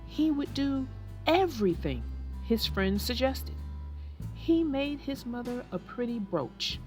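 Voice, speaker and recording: Woman storyteller for kids, unhurried and sing-song, faint music bed. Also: a little high. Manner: expressive, sing-song reading. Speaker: storybook narrator and host. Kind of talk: children's read-aloud narration.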